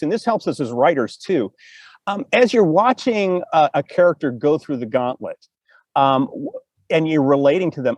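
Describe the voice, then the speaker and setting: A man's voice: a little high, darkish tone, dry recording. A man hosting a casual, unscripted interview, asking questions in an informal, rambling way. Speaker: talk show host.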